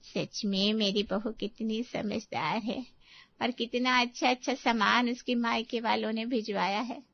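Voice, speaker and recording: A woman's voice: a little high, steady tone, a little slow, vocal fry, dry recording, muffled recording. A woman playing a fond, effusive middle-aged mother-in-law in a Hindi moral story cartoon. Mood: delighted.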